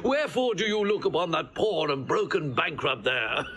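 An actor playing a courtly lord who recounts a scene to his duke in classical stage style, animated and amused. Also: on the high side, normal speed, noisy background. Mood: amused recounting. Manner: animated, mimics another man's sayings. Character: courtly male lord, storyteller of the deer. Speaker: lord attending the exiled duke.

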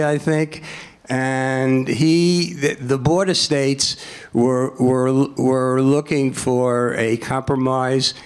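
An older man answers questions from a panel table. He speaks slowly in a mid-range voice, haltingly, repeating words for emphasis. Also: mid-range voice, clear, resonant tone. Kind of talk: informal lecture, answering audience questions. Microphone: table microphone.

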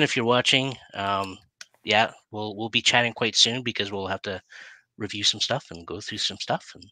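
A man in his forties with a low-pitched, bright voice hosts a relaxed online show. He talks informally and haltingly, often letting sentences trail off. The sound is dry and close, from a headset on a video call. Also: no room sound, low-quality recording. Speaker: talk show host. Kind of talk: casual online interview chat. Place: indoor room, on a video call.

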